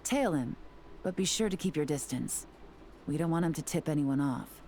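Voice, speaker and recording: A woman's voice: sits low, animated, moderate pace, warm tone, slight background noise. An actress playing a shrewd, composed female investigator in a video game, calculating and dryly teasing. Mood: composed, assured. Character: cool, shrewd, commanding adult female investigator. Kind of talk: scripted video game voice acting.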